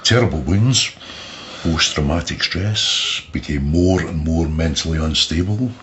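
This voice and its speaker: An actor playing a matter-of-fact investigator, giving clipped, factual case details in a radio drama. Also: male voice, a bass voice, measured pace, a bit bright, a little hiss or hum. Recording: dull sound with background ambience.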